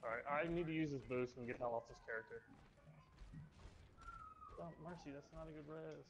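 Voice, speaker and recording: A man's voice: on the high side, fairly quick, dull audio, a little hiss or hum. A man chatting casually with his teammate during an online shooter, blunt and given to swearing. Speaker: gamer playing in a team shooter. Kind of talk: casual in-game team chat.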